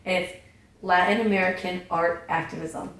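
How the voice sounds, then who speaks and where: A woman's voice: lowish voice, fairly even intonation, normal speed, fairly bright tone. Chatty young woman, echoey distant mic. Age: young adult. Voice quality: raspy. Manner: chatty, many fillers and restarts. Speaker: podcast guest. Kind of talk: casual chat. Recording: echoey room, distant mic, faint noise.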